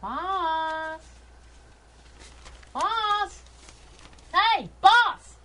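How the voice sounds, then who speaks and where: A woman's voice: very high-pitched, lively intonation, very slow, pushed and bright, full character voice. A woman playing a spunky, restless young female adventurer and sidekick, brash, slangy and teasing, in old-time radio adventure style. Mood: urgent, calling out.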